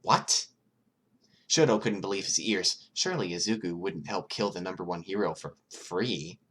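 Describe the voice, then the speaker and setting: A man's voice: low-pitched, moderate pace, fairly bright tone, husky. A man reading scripted fiction aloud as a podfic narrator.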